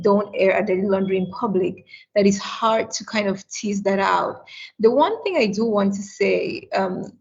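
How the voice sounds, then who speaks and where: A woman's voice: medium pitch, breathy edge, lo-fi sound. A woman who grew up in Cameroon, moderating a panel discussion over a video call and speaking earnestly. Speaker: webinar moderator.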